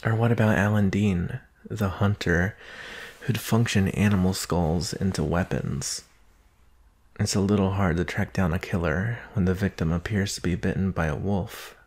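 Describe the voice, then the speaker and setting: A man acting a doting, analytical boyfriend in an intimate ASMR roleplay, gentle and musing with long pauses. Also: deep voice, steady tone. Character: doting boyfriend, soft-spoken, teasing, analytical former forensics student.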